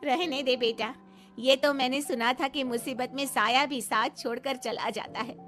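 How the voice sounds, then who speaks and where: A woman voicing a grieving aunt who speaks with bitter hurt in an animated story. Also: high voice, normal speed, faint music behind. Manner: bitter, wounded.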